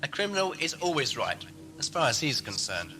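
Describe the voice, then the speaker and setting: A man's voice: fairly high pitch, very animated, a little fast, over music. A man playing an eccentric, scatterbrained time-traveller in an audio drama, wry, breezy and flippant. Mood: reflective. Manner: wry, breezy, talking to himself. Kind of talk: fan audio drama acting.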